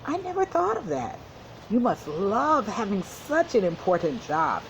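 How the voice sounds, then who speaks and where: A woman's voice: mid-range voice, wildly swooping pitch, measured pace, a little hiss or hum, voice pushed up, rough recording. A woman voicing a young schoolgirl in a children's storybook, unsure of herself, curious and questioning. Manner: questioning, self-doubting. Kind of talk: children's storybook read-aloud.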